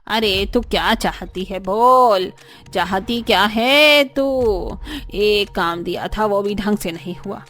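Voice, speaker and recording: A woman voicing an arrogant, sharp-tempered older mother-in-law in a cartoon story, haranguing indignantly with rhetorical questions, over music. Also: mid-range voice, takes it slowly, voice pushed down. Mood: furious. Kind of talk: Hindi cartoon story voice acting.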